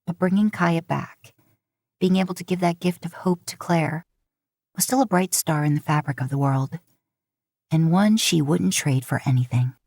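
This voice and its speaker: A woman's voice: low-pitched, moderate pace, a little mellow, no room sound. A woman reading a story as a scripted narration. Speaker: podfic narrator. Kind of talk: scripted narration of fan fiction.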